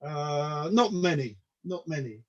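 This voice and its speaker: A man in his sixties whose first language was Polish, a comedian chatting as a guest in a hesitant way, repeating words and filling pauses. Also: a little high, animated. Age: 60s. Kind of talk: casual interview talk. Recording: dull lo-fi sound.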